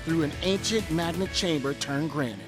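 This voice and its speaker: A high-voiced man presents an upbeat travel piece with playful, self-deprecating delivery. Music runs clearly underneath his voice as he hosts. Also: normal speed. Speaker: host of a local travel segment. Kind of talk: upbeat scripted travel narration mixed with on-location chat. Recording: music bed behind the voice.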